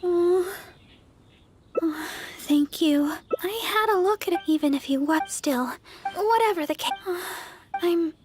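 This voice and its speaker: Very high, timid young game healer. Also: female voice, lively intonation, a little slow, slight background noise. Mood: polite, unsure. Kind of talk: video game voice acting. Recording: loud game music behind.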